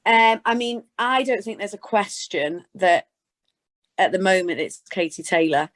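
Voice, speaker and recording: A woman's voice: medium pitch, expressive, a little slow, a bit bright. A British woman chatting informally as a guest in an interview, answering in a conversational way. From Scotland and Gloucestershire, England. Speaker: interview guest, sports presenter. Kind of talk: casual interview chat.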